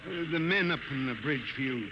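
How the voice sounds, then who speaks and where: An awkward, apologetic crewman stammers and hesitates, as a man plays him in an old radio drama. His slightly high voice sounds muffled, with loud hiss behind it. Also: moderate pace, lightly acted. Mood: uneasy. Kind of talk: 1950s radio drama acting.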